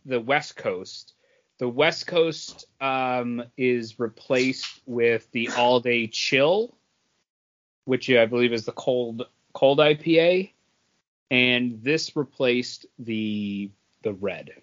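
A man co-hosting a casual podcast, reviewing in a halting, measured way. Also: medium pitch, a bit bright, a little hiss or hum. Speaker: podcast co-host. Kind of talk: casual beer review chat. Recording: dull lo-fi audio.

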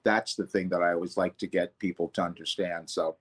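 A man's voice: low voice, steady tone, fairly quick. A man, a vocal coach, talking earnestly in an interview, often correcting himself mid-sentence. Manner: earnest, loose, self-correcting. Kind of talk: reflective interview talk.

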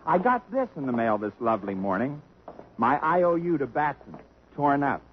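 A man's voice: medium pitch, very animated, normal speed, slight background noise, natural voice. An actor playing a breezy, wry young man. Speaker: actor in a radio play. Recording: dull old radio recording.

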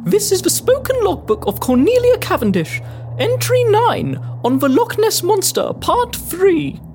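A woman's voice: very high voice, a little mellow, slight background noise, full character voice. A woman playing a haughty, indomitable older Victorian lady adventurer with a pompous, dramatic manner in a comic period drama. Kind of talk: spoken logbook narration, comic period drama.

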